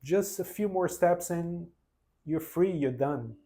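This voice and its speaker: Brazilian man in his forties, earnest, halting delivery with restarts, unscripted personal testimony. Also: a little high, warm tone. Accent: Brazilian Portuguese. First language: Portuguese. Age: 40s. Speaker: vlogger.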